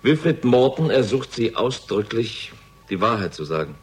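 An actor playing a distrustful police interrogator, curt and stern, in a 1960s German crime radio drama. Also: male voice, medium pitch, big pitch swings, normal speed, breathy edge. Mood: grave. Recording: vintage radio recording, slight noise, clicks.

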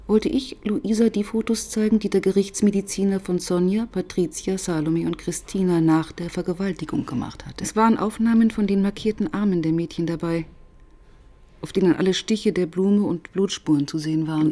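Female police inspector played by an actress, radio crime drama: low-pitched, dry, matter-of-fact, reflective, probing. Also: steady tone, fairly quick, dark tone, lightly acted. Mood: reflective narration. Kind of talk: German radio crime drama with first-person narration.

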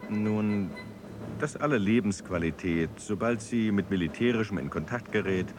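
A man's voice: fairly low pitch, normal speed, music in the background, lightly acted. An actor in a radio drama playing an eloquent, proud dreamer poet and swordsman, with a precise, theatrical delivery. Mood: proud, defiant.

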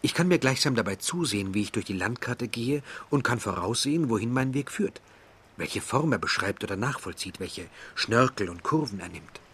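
A man's voice: medium pitch, animated, moderate pace, fairly bright tone, husky. A man reading a scripted translation voice-over for a radio documentary.

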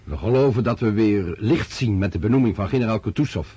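An actor in a Dutch radio drama playing a loyal, plain-spoken battalion officer, hearty and respectful toward superiors. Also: male voice, lowish voice, animated, fairly quick, warm tone, husky, dry recording, muffled recording. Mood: earnest, indignant.

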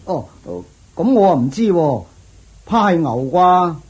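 An excitable young guard. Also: female voice, lowish voice, a little slow, slightly rough, rough recording. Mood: excited. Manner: excited, exclaiming. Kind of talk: radio drama acting.

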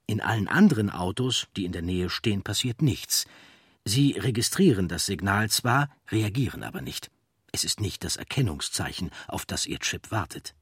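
A man reading scripted documentary narration in a measured, explanatory manner. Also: deep voice, expressive, breathy edge, no room sound, studio quality. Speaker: audiobook narrator.

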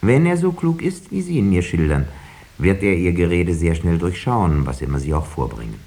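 A man's voice: deep voice, sing-song, normal speed, mellow, slightly rough, full character voice. A man playing a wry, sceptical village schoolteacher who tells the tale in a 1950s radio play, reflective and dryly ironic. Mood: sceptical, admonishing.

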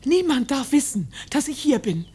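An actress playing a stubborn, sorrowful, reclusive farm woman in a radio drama, with a husky, terse delivery. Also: sits high, big pitch swings, normal speed, mellow. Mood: guarded, dismissive. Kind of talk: German radio drama acting.

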